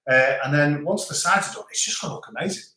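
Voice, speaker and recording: A man with a softened Scouse accent hosting a casual live stream, chatting and reading out viewer comments with frequent restarts. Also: a little high, animated, fast talker. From Liverpool, England. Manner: chatty, rambling, stops and restarts. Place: home in the French countryside. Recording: roomy echo.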